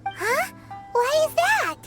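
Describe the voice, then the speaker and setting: A woman voicing a tiny floating childlike mascot companion in a video game, chirpy and cheeky with quick quips. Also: very high-pitched, very animated, heavily acted. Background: game background music.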